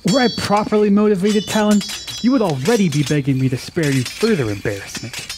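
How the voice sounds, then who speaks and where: A man's voice: high-pitched, lively intonation, fairly quick. An actor playing an eager, boastful young man who longs to be a warrior, with formal phrasing, in a fantasy radio drama. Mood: playful, boastful. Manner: earnest, formal archaic phrasing.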